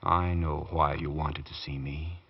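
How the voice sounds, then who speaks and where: Low-voiced, brooding valet. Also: male voice, fairly even intonation, unhurried, slightly rough, full character voice. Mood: bitter, ominous. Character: deferential, brooding male valet, secretive and bitter. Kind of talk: 1940s radio drama acting. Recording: dull, muffled old radio recording.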